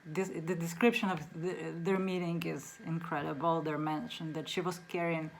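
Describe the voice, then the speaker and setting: A middle-aged woman whose first language is Russian, speaking English with a Russian accent in a casual book talk, unhurried, with many ums. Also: low-pitched, flat delivery. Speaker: book reviewer.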